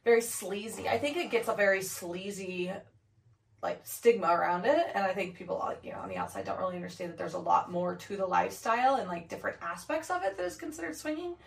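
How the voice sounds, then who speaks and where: A hoarse young woman talks casually, her voice gravelly and frayed from laryngitis. She sits back from the mic in an echoey room, and her chat is full of "like" fillers. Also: medium pitch, animated, fast talker, far from the mic. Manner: chatty, lots of "like" fillers. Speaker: podcast co-host. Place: home office, on a couch.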